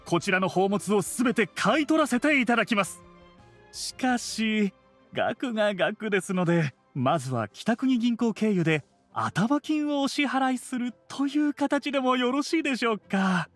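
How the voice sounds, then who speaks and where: Obsequious merchant for an anime game: high male voice, ultra-polite, eager, close and dry. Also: expressive, measured pace, a bit bright, dry recording, soft background music. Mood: resolved. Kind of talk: Japanese anime game voice acting.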